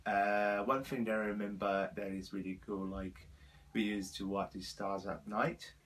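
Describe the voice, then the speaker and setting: A man in his twenties from Brazil with a Brazilian Portuguese accent, speaking halting second-language English as he tells his life story to the camera with many fillers. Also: on the low side, level tone, fairly bright tone. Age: 20s. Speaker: vlogger.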